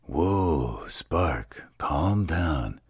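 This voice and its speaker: A man playing a gruff, protective demon father in a fantasy audio roleplay, with a gravelly voice and a reassuring drawl. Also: animated, extremely slow, darkish tone, dry recording, studio quality, full character voice. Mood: concerned, questioning. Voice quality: very deep, gravelly husky voice.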